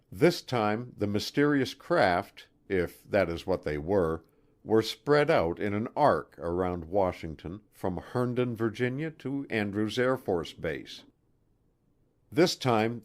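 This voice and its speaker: A man's voice: lowish voice, a little mellow. A man reading a nonfiction audiobook as scripted narration. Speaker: audiobook reader.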